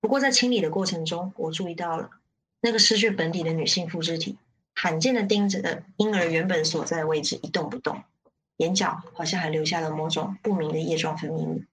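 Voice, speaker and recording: A woman's voice: fairly low pitch, brisk, a bit bright, slight background noise, close to an everyday voice, low-quality recording. A woman playing a jaded riot-squad member who narrates a grim radio drama in the first person with detachment. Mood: somber.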